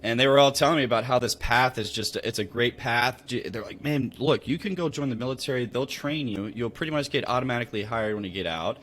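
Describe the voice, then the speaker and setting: A man's voice: mid-range voice, fast, hardly any pauses, a bit bright. An American man speaking in a casual, conversational storytelling style as a podcast guest. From Louisville, Kentucky, United States. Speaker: podcast guest, real estate investor.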